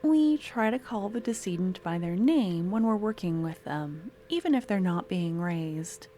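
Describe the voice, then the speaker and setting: A woman playing an eager, clingy young student with a secret obsession in an ASMR roleplay, speaking softly and often slipping into breathy whispers. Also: lowish voice, lively intonation, measured pace, slight background noise. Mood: gentle, instructive. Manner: soft-spoken, often dropping to breathy whispers. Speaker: lab guide teaching embalming.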